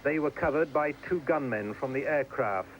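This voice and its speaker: A man's voice: medium pitch, moderate pace. A man narrating a scripted television news report as a foreign correspondent. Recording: clearly noisy 1980s news report.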